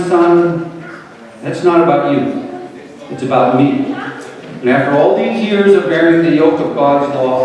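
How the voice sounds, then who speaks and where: A man's voice: fairly high pitch, darkish tone, close to an everyday voice. A man playing a devout, forgiving father, earnest and preachy, in a dramatic reading of a parable. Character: devout, grieving, forgiving father pleading with his wayward son. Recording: very echoey hall from far off, faint noise.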